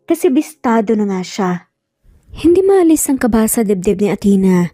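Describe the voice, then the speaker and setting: A woman narrates a romance story from a script. Her voice is mellow and sits a bit high. The recording is dry, with music underneath. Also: big pitch swings, moderate pace, no room sound.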